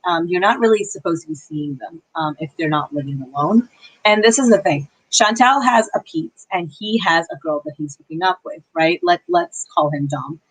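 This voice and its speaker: A young woman giving casual, opinionated commentary on a livestream, breaking off to answer her chat with quick apologies. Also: lowish voice, fairly bright tone, rough recording. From Pakistani heritage. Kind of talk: casual live-stream commentary reading chat.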